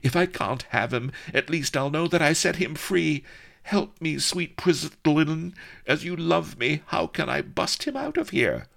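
A man's voice: on the high side, expressive, measured pace, vocal fry, fairly bright tone, voice pushed up, exaggerated voice. A man voicing a melodramatic, addled young princess who wails and swoops into shrieks. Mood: anguished. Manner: wailing, overwrought, swooping into shrieks.

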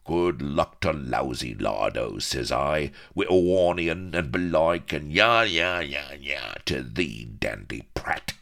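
A man voicing a loud, oafish, bloodthirsty pirate who bawls in rustic speech. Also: rumbling low, slow, vocal fry, few pauses, pitched above the performer's own voice, full character voice. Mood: bawling scorn. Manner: bawling, bellowing rustic speech.

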